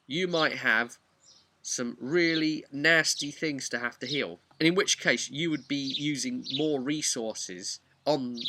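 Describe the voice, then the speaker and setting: A man giving an informal, animated, chatty explanation of tabletop roleplaying rules. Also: mid-range voice, slight background noise. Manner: animated, chatty, acts out imagined player remarks. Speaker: tabletop game master giving advice.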